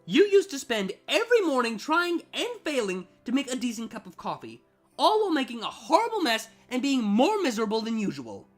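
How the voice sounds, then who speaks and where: Irritable, haughty cartoon siren, female actor, biting sarcasm, exasperated and very animated. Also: on the high side, fairly quick, faint music behind. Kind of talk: storybook fan fiction reading.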